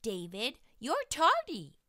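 A woman voicing a stern, exasperated female schoolteacher, scolding loudly and emphatically in a storybook read-aloud. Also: a little high, huge pitch swings, slow pace, dry recording, studio quality, pitched above the performer's own voice, exaggerated voice.

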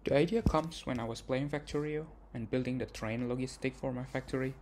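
A man's voice: medium pitch, fairly even intonation, fairly quick, darkish tone. A young man narrating his own game devlog in a plain, earnest way, with some non-native phrasing. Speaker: indie game developer.

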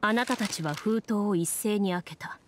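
A woman's voice: mid-range voice, slight background noise, natural voice. A woman playing a composed game master, narrating solemnly and formally in an anime game. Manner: measured, formal. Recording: music behind the voice.

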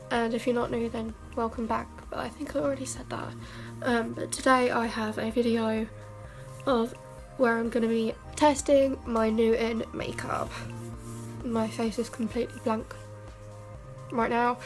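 A young woman, a beauty vlogger, chatting casually through a makeup test in her bedroom; she sounds croaky and stuffed up from a cold and rambles with self-mocking asides. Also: on the high side, little pitch movement, measured pace, over music. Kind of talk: casual makeup tutorial chat. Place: bedroom, indoors. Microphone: camera mic at arm's length.

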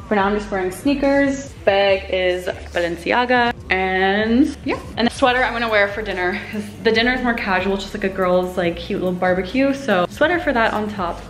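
A woman's voice: mid-range voice, roomy sound. A young woman in her twenties chatting casually to a handheld camera in her apartment, bubbly and giggly. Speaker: vlogger. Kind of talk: casual vlog chat to camera. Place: apartment and friend's kitchen, indoors. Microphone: handheld camera at arm's length. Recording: loud music and chatter behind.

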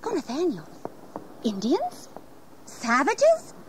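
A devoted but anxious gentlewoman in a historical audio drama. Her voice is fairly high and very animated, with fretful hesitations. The old recording is faintly noisy. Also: slow, full character voice. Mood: worried. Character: anxious, devoted, genteel young English wife.

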